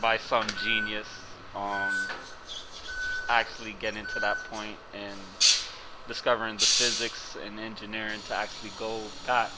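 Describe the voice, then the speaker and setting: A man's voice: lowish voice, flat delivery, normal speed. A young man from Miami, a college student, answering casually in a street interview. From Miami, United States. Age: young adult. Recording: loud vehicle and air noise.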